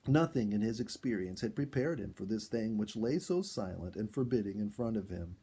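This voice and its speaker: A man reading a first-person animal story aloud in an expressive, dramatic storytelling style. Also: lowish voice, normal speed, husky. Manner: expressive, acts out dialogue and exclamations.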